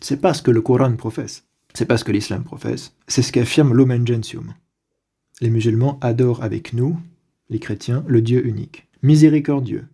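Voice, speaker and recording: A man giving an unscripted lecture on a church document, deliberate and with frequent hesitations and restarts. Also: medium pitch, fairly even intonation, gravelly, warm tone, slightly rough. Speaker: religious commentator.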